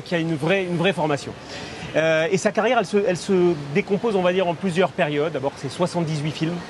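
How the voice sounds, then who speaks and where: A man's voice: sits high, a little hiss or hum. A man presenting a film segment on a talk show, enthusiastic and full of restarts. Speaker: film columnist. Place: outdoors at a café terrace.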